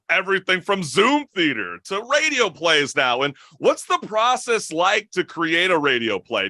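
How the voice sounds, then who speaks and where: Bright, high-voiced young man hosting. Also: no echo. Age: young adult. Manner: enthusiastic, effusive. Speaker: talk show host. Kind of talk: casual interview chat.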